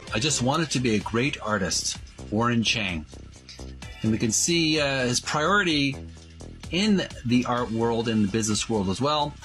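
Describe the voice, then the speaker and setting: A man hosting a video channel, reading from a book and adding casual commentary in an earnest, deliberate way. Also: mid-range voice.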